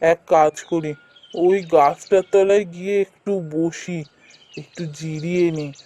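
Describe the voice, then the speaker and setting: An actor playing a poor, kindly woodcutter father in a cartoon, speaking in a gentle, plaintive, musing way. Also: medium pitch, fairly even intonation, measured pace, lightly acted. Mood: weary, worried. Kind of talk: cartoon voice acting.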